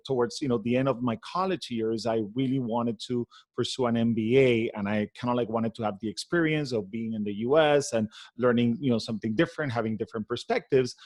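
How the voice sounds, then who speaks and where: A man from Venezuela, a guest in an interview, telling his story in an animated way with many fillers. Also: mid-range voice. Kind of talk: conversational interview.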